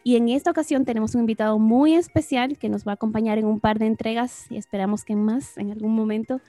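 A woman's voice: fairly high pitch, warm tone, no room sound. A woman hosting a podcast on missions, introducing her guest and asking him questions. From Guatemala. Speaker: podcast host. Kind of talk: welcoming interview chat.

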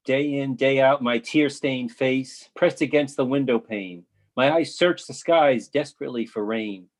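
A man's voice: mid-range voice, natural voice. An actor playing an earnest male storm survivor in a readers theatre monologue, declaiming his lines.

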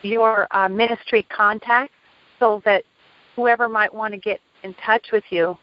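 A woman hosting a podcast, talking casually with a guest and filling pauses with ums. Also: mid-range voice, fairly even intonation, dry recording. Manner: easygoing, filler-heavy. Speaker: podcast host. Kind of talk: casual chat. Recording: dull, dry podcast audio.

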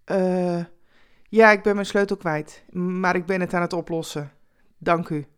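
A woman's voice: lowish voice, steady tone, a little slow, clean tone, natural voice. A woman voicing a rich, curt businessman in a told story, hesitant, clipped and stiffly polite. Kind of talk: storytelling character voice.

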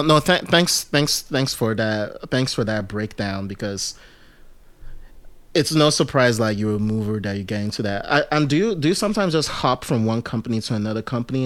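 A man hosting a casual interview podcast, rambling with many fillers and restarts and lively asides. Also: mid-range voice, no echo. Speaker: podcast host.

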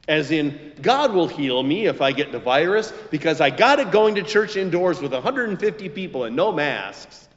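A man preaching a scripted sermon as a pastor, with measured, emphatic phrasing and deliberate pauses. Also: a little high. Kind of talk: scripted expository sermon with quotations. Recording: muffled recording in a reverberant hall.

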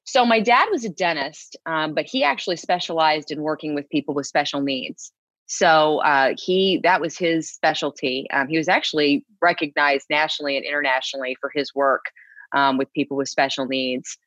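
A woman's voice: low voice, normal speed, a bit bright, no echo, muffled recording. A woman from Texas, a TV news co-anchor, chatting easily as an interview guest and laughing readily. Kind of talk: casual interview conversation.

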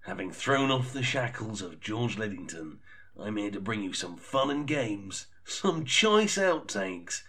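Man playing a slow, showy podcast host. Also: fairly low pitch, big pitch swings, sharp tone, heavily acted. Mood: showy, upbeat. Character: pompous, chirpy male comedy podcast host introducing clips. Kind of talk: scripted comic presenting.

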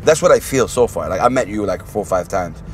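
A man's voice: fairly low pitch, expressive, fast, a little hiss or hum. A man interviewing a guest in a friendly, rambling way, slipping Arabic words into his questions. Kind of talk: casual interview talk.

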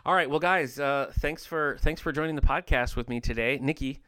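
A man's voice: fairly high pitch, fairly quick, pushed and bright. A man hosting a podcast, chatting casually and jokingly with his co-hosts, often laughing and restarting his phrases. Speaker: podcast host.

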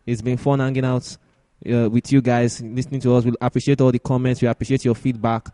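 A man's voice: medium pitch, little pitch movement, fast, warm tone, no echo. Man hosting a sports podcast. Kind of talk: conversational sports discussion.

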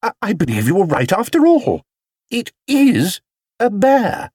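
A warm-hearted, firm English housewife from a storybook, acted by a man. Her voice is high and swoops dramatically. She exclaims and appeals until she gets her way. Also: wildly swooping pitch, normal speed, husky, dry recording, clean studio sound, full character voice. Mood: surprised, curious. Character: warm-hearted, firm, persuasive middle-class English wife.

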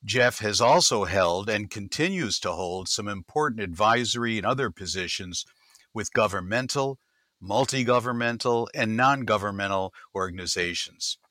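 A male podcast host introduces his guest and leads the interview. His fairly low voice has a bright edge and sounds close and dry. He listens attentively and keeps things moving with short questions and mm-hmms. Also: normal speed, dry recording.

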